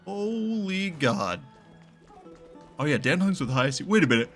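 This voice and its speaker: A man hosting a gaming video with casual, excitable, rambling reaction commentary in slangy speech. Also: a little high, a little slow, soft background music.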